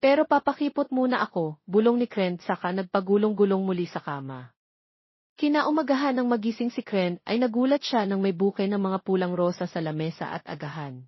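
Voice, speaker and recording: A woman's voice: medium pitch, a little fast, dry recording, muffled recording. A woman with a Filipino accent reading a scripted romance story as narrator.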